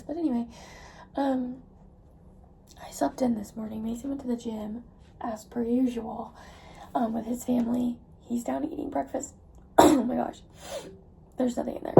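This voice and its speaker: A young woman chatting casually in a vlog, rambling with lots of fillers, her voice hoarse because she is losing it. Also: on the high side, mellow, slight background noise, rough recording. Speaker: vlogger.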